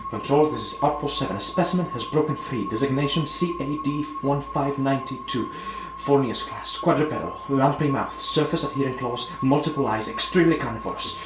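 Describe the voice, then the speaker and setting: A man's voice: mid-range voice, brisk, hardly any pauses, natural voice. A man playing a terse, exasperated security officer at a secret facility in a sci-fi horror audio drama, clipped and urgent. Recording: echoey room, loud music behind.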